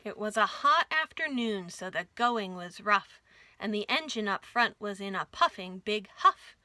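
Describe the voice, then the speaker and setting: A woman reading a rhyming picture book aloud for young children in an expressive storytime style. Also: mid-range voice, measured pace, no room sound. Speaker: children's storytime reader at a library.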